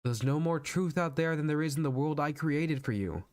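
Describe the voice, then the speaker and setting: A man's voice: on the high side, darkish tone, dry recording, studio quality, close to an everyday voice. Man acting a controlling, fatherly TV creator. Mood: solemn, assured. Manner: measured, grandiose, coaxing. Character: calm, controlling, fatherly male TV show creator. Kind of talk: dramatic film monologue practice.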